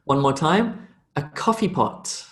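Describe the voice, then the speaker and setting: A man with an Australian accent teaching English pronunciation on a live online lesson, drilling phrases for the learner to repeat and slowing them down. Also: a little high, expressive.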